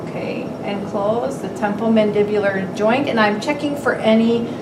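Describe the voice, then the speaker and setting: A woman giving a hands-on clinical demonstration, recorded at a distance from the mic with clear background noise. Her voice has a middling pitch, and she explains each step methodically. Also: steady tone, normal speed, reverberant. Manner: methodical, explanatory, lists anatomical terms. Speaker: clinical instructor demonstrating a physical exam. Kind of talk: instructional demonstration, narrating while examining.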